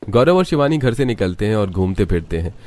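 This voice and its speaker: A man's voice: fairly low pitch, very animated, brisk, a little mellow. A man narrating a scripted cartoon story.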